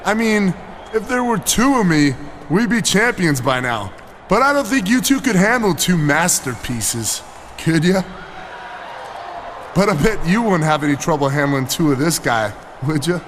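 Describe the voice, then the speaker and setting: Cocky high-pitched muscleman wrestler. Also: big pitch swings, a little slow, background noise. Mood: boastful. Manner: boastful, smug, showy. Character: cocky vain male muscleman wrestler, self-styled masterpiece. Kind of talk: video game cut scene acting.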